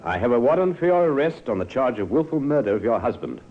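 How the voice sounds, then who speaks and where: An actor playing a brisk, wry, confident police inspector in a 1950s radio crime drama. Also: male voice, medium pitch, big pitch swings, narrow, tinny audio, loud music behind the voice.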